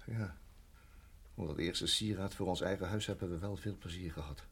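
An actor in a radio play voicing a reflective, middle-aged married farmer who reminisces in a measured, halting way. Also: male voice, deep voice, a bit bright, slightly rough, full character voice. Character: weary, reflective married farmer recalling his years in Rhodesia.

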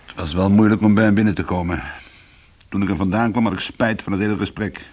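An actor playing a weary, defensive but soothing ex-convict in a radio drama, with a husky voice. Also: male voice, on the low side, steady tone, fast talker, a little mellow. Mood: rueful. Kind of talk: radio drama acting and first-person narration.

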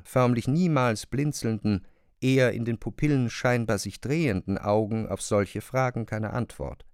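A man's voice: medium pitch, a little mellow, clear, resonant tone, no room sound. Male radio play narrator, close dry sound. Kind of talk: literary scripted narration.

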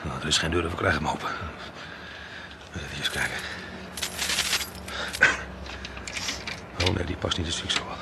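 An actor playing a calm, dry-humoured male detective who explains things in a level way in an old radio play. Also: rumbling low, fast talker, vocal fry, heavy background noise. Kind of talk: radio drama acting.

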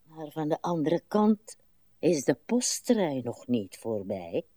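An actress playing a weary, morbid, rambling old woman in a radio play, with slow, plaintive delivery. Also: sits low, big pitch swings, warm tone, no room sound, studio quality, full character voice. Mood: reminiscing, rambling. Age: older.

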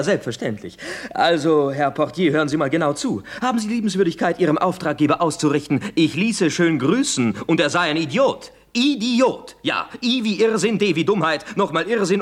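A man playing a glib, teasing, penniless young artist in a radio play, theatrical, wheedling and mock-solemn. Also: high voice. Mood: mock-polite, impudent. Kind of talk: German radio play acting.

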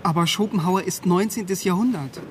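An actor playing a bookish young East German philosophy student who narrates a radio drama in a wry, articulate manner. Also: male voice, high voice, moderate pace, slight background noise, natural voice. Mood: pleading, insistent. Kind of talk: first-person radio drama narration and dialogue. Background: music.

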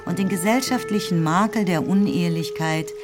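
A woman narrating a literary radio play, telling the story in a measured, scripted way. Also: lowish voice. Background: instrumental music.

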